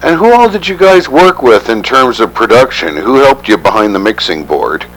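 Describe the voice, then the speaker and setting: Guy interviewer with a bouncy, lively voice, bit of hiss in the background. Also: medium pitch, moderate pace, low-quality recording. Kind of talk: interview questions.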